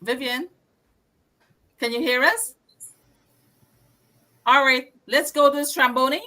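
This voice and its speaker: A woman hosting an online group call, briskly calling on each guest by name. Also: high voice, sharp tone. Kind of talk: casual video call hosting.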